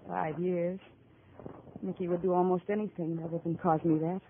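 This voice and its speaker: Actress as tough, weary criminal's wife, 1950 radio play, dull hissy sound. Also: female voice, lowish voice, level tone, normal speed, lightly acted. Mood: bitter, confessing. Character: hard-bitten, deceitful female gangster's wife. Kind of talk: 1950s radio acting. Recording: narrow, noisy 1950 radio recording.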